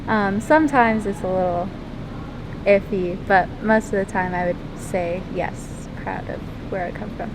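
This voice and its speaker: A teenage girl answering interview questions casually, pausing often with ums and likes. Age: teens. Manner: hesitant, many ums and likes. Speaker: interviewee, lifeguard and swimmer. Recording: loud rumbling background noise.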